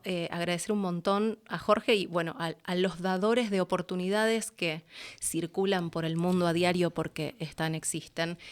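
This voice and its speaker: A woman with an Argentine Rioplatense accent telling stories conversationally as an interview guest, acting out bits of dialogue as she goes. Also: on the low side. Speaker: interview guest, voice-over artist and producer. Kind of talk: casual conversational storytelling.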